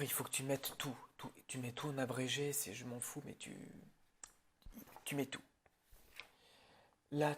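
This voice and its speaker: A man improvising an ASMR roleplay as a film director, talking in a halting, rambling way that often drops toward a whisper. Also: medium pitch, gravelly, fairly bright tone, slight background noise.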